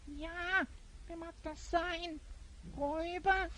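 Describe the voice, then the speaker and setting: A woman's voice: very high-pitched, fairly even intonation, takes it slowly, fairly bright tone, heavily acted, poor audio. A woman playing a small, eager elf goblin sidekick in a theatrical fantasy audio drama. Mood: alarmed, hushed.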